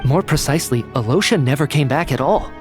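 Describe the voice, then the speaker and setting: A man playing a sharp young male investigator in a game dub, speaking precisely with a probing, serious manner. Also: a little high, lively intonation, brisk, music in the background, natural voice. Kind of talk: dramatic game dub acting.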